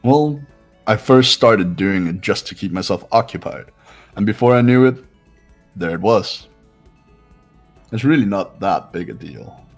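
A man acts out a haughty young male heir from a video game. His voice is low, and he talks down to everyone with measured, pompous superiority. Music plays clearly behind him. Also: lively intonation. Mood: smug, bored. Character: arrogant, condescending wealthy young male heir. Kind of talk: visual novel game voice-over.

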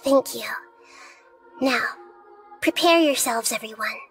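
A small, gentle child goddess from a video game. The voice is high, soft and girlish, explaining solemn matters with measured, polite wisdom. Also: over music.

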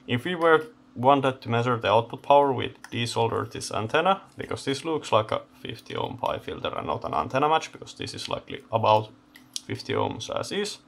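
A man giving unscripted hands-on commentary as he takes electronics apart, halting and thinking aloud in short phrases. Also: mid-range voice, wildly swooping pitch, gravelly, a little hiss or hum. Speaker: host of electronics teardown videos. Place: indoor electronics workbench.

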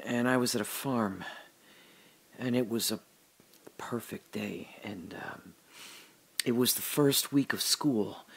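Actor voicing a scared man trapped underground, halting self-talk, bright husky tone, slow pace. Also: on the low side, full character voice. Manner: halting, muttering to himself, drifting into memories. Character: adult man trapped in a dark airless space, confused and scared. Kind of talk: audio drama monologue.

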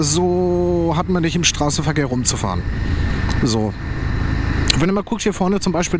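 Man with a German accent, high voice, rambling fillers, helmet mic, road noise behind. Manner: chatty, rambling, many fillers and restarts. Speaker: motovlogger. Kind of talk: casual spoken story while riding. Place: on a motorcycle, outdoors on roads. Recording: outdoor traffic and wind noise. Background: road and vehicle noise.

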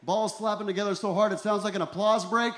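A man in his forties doing a deadpan, self-deprecating stand-up routine into a handheld stage microphone. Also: high-pitched, quick pace, a little hiss or hum. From Ecuador and El Salvador. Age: 40s. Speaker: stand-up comedian. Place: small comedy venue in a tent, on stage. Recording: roomy live comedy club sound.

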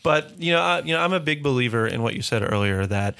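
A male host guides a business interview in a studio, with his voice in a mid range. He asks questions in a relaxed, conversational way. He laughs often and answers the guest with short affirming words. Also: medium pitch, quick pace, creaky. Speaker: podcast host. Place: dark studio set. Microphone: studio boom mic.

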